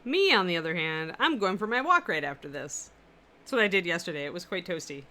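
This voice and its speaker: Bright-voiced woman chatting as she paints. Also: fairly low pitch, animated, fairly quick. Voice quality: powerful, carrying voice. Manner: chatty, answers viewer comments as she works. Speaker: miniature painting instructor and livestream host. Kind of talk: casual livestream chat while painting. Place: her apartment.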